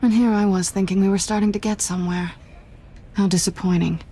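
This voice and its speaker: A woman playing a sly, flirtatious, self-assured thief in a video game, with a teasing, sultry, deliberate delivery. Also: medium pitch, steady tone, dark tone, slightly rough.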